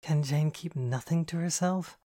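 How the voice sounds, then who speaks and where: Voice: medium pitch, a little slow, warm tone, voice pushed up, lightly acted. A reader voicing a young woman who answers dryly and a bit grumpily while getting flustered, in an audiobook. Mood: wry. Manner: wry, self-conscious. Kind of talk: audiobook dialogue.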